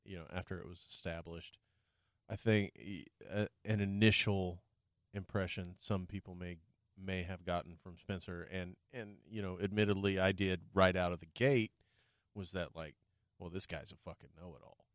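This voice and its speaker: A man's voice: low voice, fairly even intonation, normal speed, dry recording, clean studio sound. A man reflecting in casual chat, trailing off often and swearing now and then.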